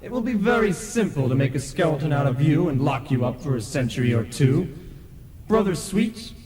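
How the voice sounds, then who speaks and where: An actor playing a stern, pompous ghost who presides as judge, declaiming officiously in a radio play. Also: male voice, on the high side, big pitch swings, normal speed, darkish tone. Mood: indignant. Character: stern, pompous male ghost chairman of a tribunal. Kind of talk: old-time radio play acting. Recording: echoey long reverb, faint tape noise.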